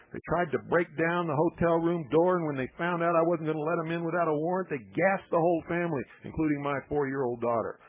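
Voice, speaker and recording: A man's voice: on the high side, slight background noise. A man hosting a call-in radio talk show, speaking bluntly and growing heated. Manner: blunt, heated when riled. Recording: tinny shortwave radio broadcast.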